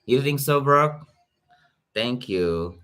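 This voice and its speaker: A man's voice: medium pitch, lively intonation, slow, fairly bright tone, clean tone. A young man with a Filipino accent chatting casually on a livestream, greeting viewers by name as he talks. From Philippines. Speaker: YouTube reactor.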